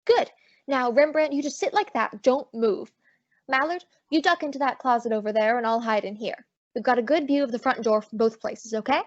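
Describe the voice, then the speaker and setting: Wisecracking female private eye woman actor, highish voice, brisk, teasing radio-drama delivery, take-charge attitude. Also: dry recording, natural voice. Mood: brisk, confident. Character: sassy, quick-witted female private detective, in command. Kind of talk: old-time radio drama acting with first-person narration. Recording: dull lo-fi audio.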